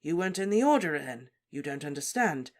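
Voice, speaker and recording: A woman voicing a calm grown wizard who speaks firmly and soothingly. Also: low-pitched, fairly quick. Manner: firm and soothing.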